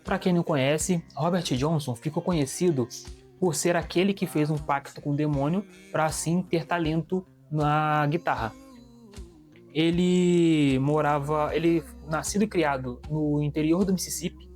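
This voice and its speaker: A man's voice: fairly high pitch, normal speed, darkish tone, soft background music. A man with a Brazilian Portuguese accent, casually reviewing a comic book and often restarting his sentences. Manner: chatty, restarts sentences, shows pages to camera.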